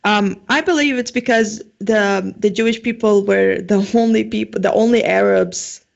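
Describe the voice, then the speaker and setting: A young woman with a Syrian Arabic accent and a gravelly, creaky edge to her mid-pitched voice. She chats loosely as an interview guest, full of "like" and "um". The audio sounds dull and muffled. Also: normal speed. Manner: chatty, lots of "like" and "um". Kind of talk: casual interview talk.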